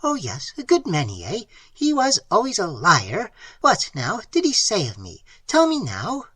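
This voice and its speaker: A man voicing a sour, secretive old woman with a croaking voice, in an audiobook reading. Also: high voice, huge pitch swings, a little slow, a bit bright, no room sound, pitched above the performer's own voice, exaggerated voice. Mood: prying. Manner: sour, prying, confidential. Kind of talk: audiobook character voice.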